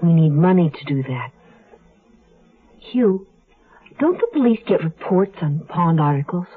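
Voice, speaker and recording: An actress playing a devoted, world-weary wife on the run, earnest and melancholy, in a 1970s radio drama. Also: female voice, on the low side, lively intonation, measured pace, breathy edge, phone-quality sound. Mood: practical, anxious. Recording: thin-band 1970s radio recording with music.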